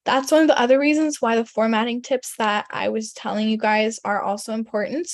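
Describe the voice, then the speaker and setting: Young female career coach, first language German, loose and chatty with many fillers, slightly high voice. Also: steady tone, a little fast, no echo. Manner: chatty, lots of fillers, frequent throat clearing. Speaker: career coach presenting a resume webinar. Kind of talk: casual webinar presentation.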